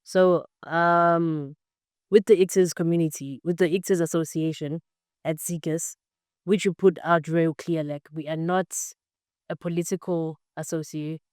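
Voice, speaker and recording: A woman's voice: sits low, steady tone, a little slow, darkish tone, clear, resonant tone, no room sound, studio quality. A young woman in her twenties from Zambia, answering interview questions in an earnest, explanatory way with frequent "um" and "basically". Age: 20s. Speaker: podcast guest, computer science student.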